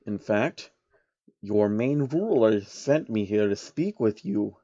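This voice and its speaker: A man voicing a sly, elderly male billionaire manipulator in a slow, measured way for a fan story. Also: on the low side, animated, mellow, dry recording, highs cut off, pitched below the performer's own voice, exaggerated voice. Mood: sly deceit. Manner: slow, measured, drawn-out delivery.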